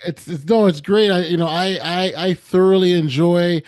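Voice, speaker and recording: A man hosting a podcast, smooth when reading his introduction and full of fillers and restarts when he speaks off the cuff. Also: sits high, fairly even intonation, darkish tone. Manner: polished when reading, halting with many fillers when unscripted. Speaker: podcast host. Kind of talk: scripted intro then conversational hosting.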